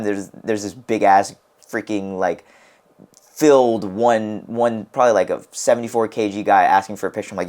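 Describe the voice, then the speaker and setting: A young American man co-hosting a casual podcast, telling rambling stories full of "like" and restarts. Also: fairly low pitch. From United States. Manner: rambling storyteller, heavy on "like". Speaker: podcast co-host and wrestler.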